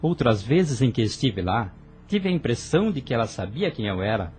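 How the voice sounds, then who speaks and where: A man's voice: medium pitch, big pitch swings, moderate pace, a little mellow, breathy edge, faint music behind. A man voicing a young brother in an audio drama, earnest and hesitant as he confesses. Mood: tense. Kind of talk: radio drama acting.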